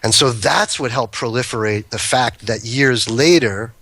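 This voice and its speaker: A man's voice: mid-range voice, unhurried, pushed and bright. A man guesting on a talk show, telling long, rambling anecdotes and often breaking off mid-sentence. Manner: rambling, self-interrupting anecdotes. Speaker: guest, cannabis breeder. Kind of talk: casual storytelling chat.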